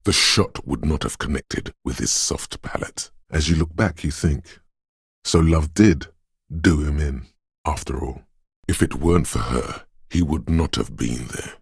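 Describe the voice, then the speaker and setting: An actor voicing the eerie, melancholic inner voice of a detective's intuition in a video game, husky, poetic and ominous. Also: male voice, a bass voice, no echo, clean studio sound, heavily acted. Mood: somber, foreboding. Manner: ominous, poetic, deliberate delivery. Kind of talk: video game voice acting, second-person dramatic narration.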